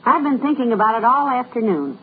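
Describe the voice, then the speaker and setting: A woman's voice: on the high side, fairly quick, lightly acted. Molly, a sensible radio wife played by a woman. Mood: fond. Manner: gentle, affectionate, lively. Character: warm, sensible middle-aged wife. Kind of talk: 1940s radio comedy acting. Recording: tinny old radio broadcast with faint hiss.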